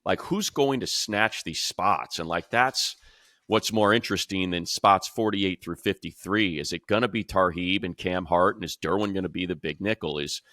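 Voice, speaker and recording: A man's voice: deep voice, normal speed, pushed and bright, no room sound, studio quality. An American man hosting a casual sports panel, talking informally and throwing out questions. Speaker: sports show host and play-by-play announcer.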